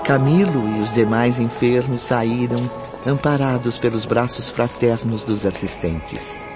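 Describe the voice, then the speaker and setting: A man acting as the solemn storyteller of a radio serial, measured and carefully enunciated. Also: mid-range voice, lightly acted. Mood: solemn, admiring. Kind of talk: scripted radio drama narration. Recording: muffled audio with music behind.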